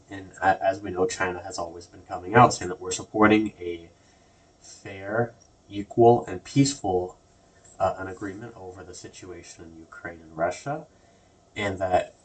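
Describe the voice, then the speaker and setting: A man's voice: sits low, recorded from a distance, rough recording. A man hosting a casual political commentary vlog, rambling with lots of restarts and "like" and "basically". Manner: rambling, repeats words and restarts often; loose everyday phrasing with many "like" and "basically". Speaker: video host.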